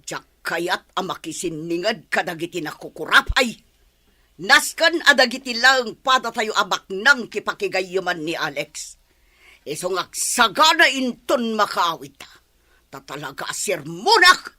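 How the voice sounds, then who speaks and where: A woman's voice: mid-range voice, very animated, unhurried, bright, forceful tone, heavily acted. An actress playing a snobbish wealthy mother in a radio comedy drama, speaking haughtily and with disdain. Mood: haughty. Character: snobbish rich mother Esmeralda.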